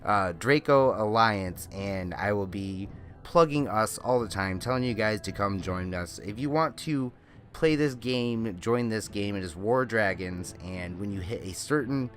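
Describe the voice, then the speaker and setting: A man with a slightly nasal, congested voice giving rambling, casual walkthrough commentary on a video game as a channel host, often correcting himself. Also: fairly low pitch. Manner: rambling, self-correcting explanations. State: congested with a lingering cold. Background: faint music.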